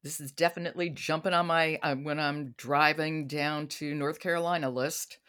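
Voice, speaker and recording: A woman's voice: low-pitched, steady tone, moderate pace, a bit bright, smooth. A woman hosting a podcast in a conversational style. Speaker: podcast host.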